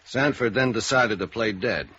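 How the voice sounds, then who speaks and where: A man's voice: lowish voice, normal speed, sharp tone, husky. An American man acting a persistent, methodical police sergeant in a 1950s radio crime drama. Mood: businesslike. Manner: plain, methodical questioning. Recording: dull, muffled old radio recording.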